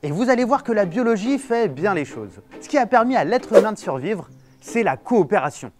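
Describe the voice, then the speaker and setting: Lively male science presenter over music. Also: sits high, fairly quick. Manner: lively, explanatory, playful. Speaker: neuroscientist presenter. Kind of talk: narration and street interviews.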